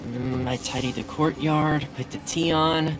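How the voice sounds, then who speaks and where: A man's voice: fairly high pitch, steady tone, measured pace, over music, lightly acted. A man playing an absent-minded video game character, musing hesitantly to himself. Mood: puzzled. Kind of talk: anime video game voice acting.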